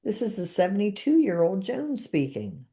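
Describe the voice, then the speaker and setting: A woman's voice: mid-range voice, big pitch swings, normal speed, warm tone. A woman in her seventies hosting a podcast, chatting casually and repeating guided phrases after her guest. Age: 70s. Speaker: podcast host and therapist.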